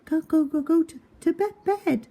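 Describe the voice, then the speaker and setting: A woman voicing a shoemaker's wife in a children's story, stammering and chattering with cold but gently hopeful. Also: very high voice, moderate pace, voice pushed up. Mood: shivering, hopeful.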